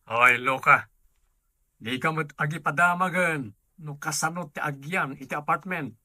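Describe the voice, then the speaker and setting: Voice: medium pitch, unhurried, sharp tone, dry recording. A performer playing a blunt, teasing close friend in a radio drama, with a sassy, forthright delivery. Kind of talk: Ilocano radio drama acting.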